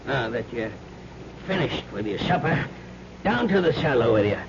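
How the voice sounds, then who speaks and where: An actor playing a miserly, suspicious old uncle in a radio mystery, with a gravelly voice and crotchety, drawn-out taunts. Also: male voice, medium pitch, big pitch swings. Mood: menacing, taunting. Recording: muffled, noisy old radio recording.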